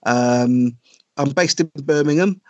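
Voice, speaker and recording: A middle-aged man talks casually as an interview guest, hesitating often and restarting his sentences. His voice sits at a medium pitch. The recording is dry and a bit dull, like a lo-fi podcast line. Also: slow pace, mellow, no room sound. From South Wales. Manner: hesitant, many ums and restarts. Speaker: podcast guest, comic writer.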